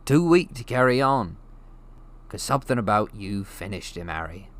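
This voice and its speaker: A male narrator plays a huge storybook giant, gruff yet kindly. He talks in a folksy, rustic way with loose grammar. His delivery is emphatic and full of weight. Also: fairly low pitch, animated, moderate pace, a bit bright. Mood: earnest. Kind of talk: audiobook character voice.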